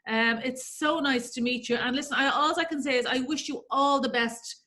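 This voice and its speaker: A woman's voice: high-pitched, normal speed, bright, forceful tone. An Irish woman hosting a video-call interview, effusive and gushing with praise in long run-on sentences. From Ireland. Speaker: host. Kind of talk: casual interview conversation.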